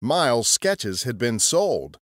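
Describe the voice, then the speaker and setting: Male podcast narrator, mid-pitched and expressive, scripted storytelling in a dry recording. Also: slow pace, no echo.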